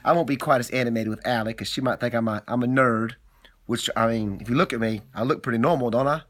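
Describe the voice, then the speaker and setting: A man's voice: mid-range voice, fast talker, a bit bright. A middle-aged man reading aloud to his child in a theatrical, teasing way, often breaking off for asides. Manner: theatrical, teasing, frequently interrupts himself. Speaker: father telling a bedtime-style story. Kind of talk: homemade storytime, reading aloud with playful asides.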